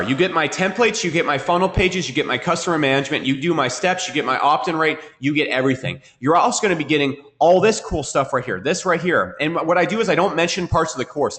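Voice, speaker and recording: Man, webinar trainer, very fast, repetitive, sniffly cold, computer mic. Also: a little high, a bit bright. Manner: fast, repetitive, keeps asking "okay?". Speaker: marketing trainer. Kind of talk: live webinar teaching.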